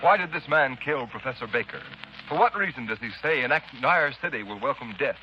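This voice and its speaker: A male radio announcer reading scripted lines in a crisp, formal manner, in a muffled 1930s radio broadcast. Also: mid-range voice, lively intonation, brisk, music in the background.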